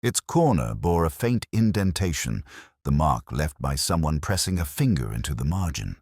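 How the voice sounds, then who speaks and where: A man with an extremely deep voice narrates a detective mystery in the first person. His delivery is solemn and measured, with lively movement in pitch across carefully literary sentences. The sound is close and dry, free of room echo. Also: breathy edge. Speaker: audio drama narrator.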